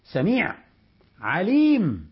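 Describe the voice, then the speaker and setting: An Egyptian man giving a Quran commentary lesson, switching between lively colloquial explanation and slow recitation. His voice is very husky and sits fairly high, with lots of movement in pitch. The recording is dull and lo-fi. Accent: Egyptian Arabic. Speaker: religious teacher.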